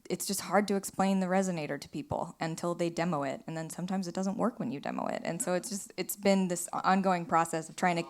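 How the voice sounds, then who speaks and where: Low-voiced woman chatting on a panel. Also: quick pace, hardly any pauses. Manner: rambling storytelling, frequent "like" and "you know". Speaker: film director on a panel. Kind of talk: casual panel conversation. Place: small theater, live panel.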